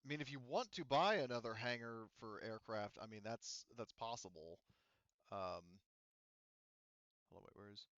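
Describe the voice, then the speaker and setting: A man's voice: medium pitch, normal speed, long pauses, a bit bright, no room sound, lo-fi sound. A man casually running a tabletop game as game master, hesitating and thinking aloud as he gives rulings.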